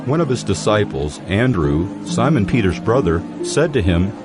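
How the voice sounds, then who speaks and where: A low male voice reads scripture as the narrator of an audio drama. The delivery is scripted storytelling, with music clearly playing underneath. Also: big pitch swings, moderate pace. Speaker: Bible narrator.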